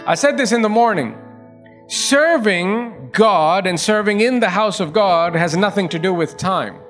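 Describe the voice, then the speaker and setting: A man's voice: high-pitched, lively intonation, a little slow, fairly bright tone, faint music behind. A male pastor preaching a sermon, pressing his points through repetition and rising emphasis.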